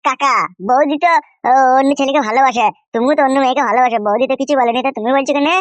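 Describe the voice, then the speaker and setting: Man voicing a high, rapid defiant cartoon young man. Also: steady tone, no echo. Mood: reasoning. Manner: argumentative, crude, insistent. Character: defiant, whiny young nephew with runaway wife. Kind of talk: comic cartoon dialogue.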